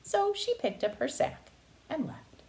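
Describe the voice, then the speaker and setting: A woman narrating a children's picture book aloud with expressive, dramatic phrasing. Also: sits high, a little slow, vocal fry. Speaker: read-aloud storyteller.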